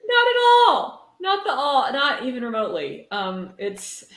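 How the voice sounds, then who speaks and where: A woman's voice: high voice, huge pitch swings, a little slow. A woman hosting a livestream, chatting casually and reading aloud in an informal, sweary way. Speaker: livestream host. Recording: roomy, echoing livestream audio.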